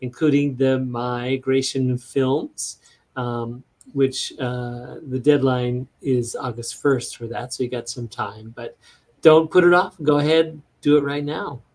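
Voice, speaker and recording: A man's voice: medium pitch, steady tone, slow pace, darkish tone. A man hosting a casual interview, asking questions and promoting an upcoming event. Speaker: host.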